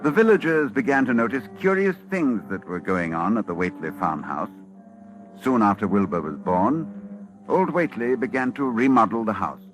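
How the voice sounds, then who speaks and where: Grave low-voiced professor played by a man, old radio. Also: animated, normal speed, close to an everyday voice, poor audio. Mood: dread-filled. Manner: solemn, ominous, dramatic delivery. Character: grave, urgent male professor narrating a horror. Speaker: narrator. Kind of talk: 1940s radio drama acting. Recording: vintage radio recording, music behind.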